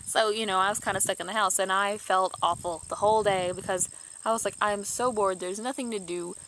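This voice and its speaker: A woman's voice: medium pitch, moderate pace, fairly bright tone, slight background noise, rough recording. A young woman in her twenties with a stuffed-up nose from allergies, chatting casually to camera in a rambling way, full of restarts and fillers. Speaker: YouTuber.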